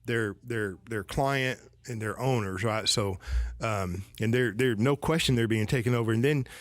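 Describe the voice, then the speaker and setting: A man's voice: medium pitch, moderate pace, dry recording. A Southern-accented man speaks in a mid-range voice, wandering through his thoughts, restarting sentences and checking in with "right?". The sound is close and dry, with no room around it. He comes across as a guest advisor chatting casually. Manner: rambling, restarts sentences, asks "right?" often. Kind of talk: casual conversation.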